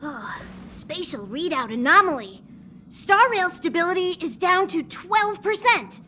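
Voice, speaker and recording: A woman voicing a small, cute conductor creature in a video game, making bossy announcements in a squeaky, childlike voice. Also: lively intonation, sharp tone, a little hiss or hum, heavily acted. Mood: worried, official. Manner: officious, deliberate announcing.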